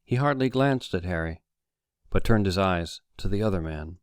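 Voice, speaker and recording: A man's voice: low voice, expressive, normal speed, smooth, dry recording, studio quality. A man reading a story aloud as an audiobook narrator, in dramatic scripted narration.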